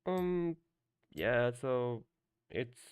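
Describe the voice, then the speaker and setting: A man's voice: medium pitch, big pitch swings, extremely slow, clear, resonant tone, no echo. A young man whose first language is Hungarian, coaching on a live stream in loose, rambling talk full of fillers and restarts. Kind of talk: casual live-stream commentary.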